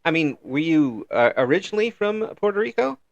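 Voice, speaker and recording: A man's voice: on the high side, big pitch swings, fairly quick, gravelly, no echo, lo-fi sound. An older man from Illinois hosting an interview in a friendly, folksy way, chuckling between remarks. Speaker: interview host. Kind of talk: casual interview chat.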